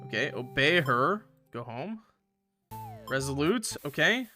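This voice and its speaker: Animated, bright-voiced man livestreaming a game. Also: mid-range voice, slow pace, soft background music. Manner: excitable, playfully combative, swearing banter with viewers. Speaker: live streamer playing a game. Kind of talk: animated casual livestream chat and game commentary.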